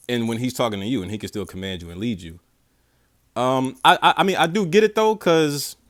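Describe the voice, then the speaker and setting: Man, reaction host, lively swinging pitch, slangy, repeats words, dry close sound. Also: no room sound. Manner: animated, slangy, stammering restarts. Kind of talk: casual reaction commentary.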